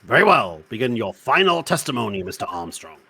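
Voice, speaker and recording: A man voicing a stern older judge with a solemn, formal manner and gravelly voice in a comedic game dub. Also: mid-range voice, very animated, fairly quick, noisy background. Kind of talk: comedic live fan dub of a video game. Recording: game music behind the voice.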